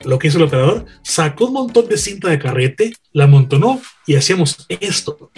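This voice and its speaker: A man's voice: on the high side, very animated, a little fast, darkish tone, husky, soft background music. A man, a radio broadcaster telling enthusiastic anecdotes in a casual panel chat.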